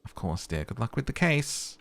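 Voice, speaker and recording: A man's voice: mid-range voice, sing-song, normal speed, creaky, fairly bright tone, no echo. A man voicing a kindly, motherly old lady in a video game. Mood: warm, gentle. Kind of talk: role-played video game dialogue.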